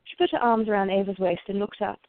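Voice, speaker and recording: A woman's voice: mid-range voice, fairly even intonation, fast talker, no room sound, phone-quality sound. A woman narrating a scripted radio play as a storyteller.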